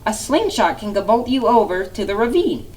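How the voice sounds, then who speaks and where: A woman voicing a helpful, thoughtful female spider in a storybook reading, in a high animated voice. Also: moderate pace, distant mic, a little hiss or hum, natural voice. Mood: lively.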